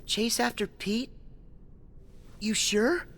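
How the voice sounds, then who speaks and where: A man's voice: high-pitched, extremely slow, a bit bright, full character voice. A man voicing an earnest, determined and loyal teenage boy hero in a video game. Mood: subdued, concerned. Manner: earnest, emphatic. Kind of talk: animated video game voice acting.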